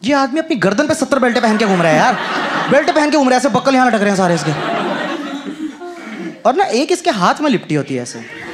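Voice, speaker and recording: A man's voice: very high voice, very animated, fast talker, long pauses, a crowd in the background. A young man performing stand-up comedy on an indoor stage into a handheld microphone, acting out street vendors in put-on voices. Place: indoor comedy stage with brick wall and a live audience. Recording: loud audience laughter and noise.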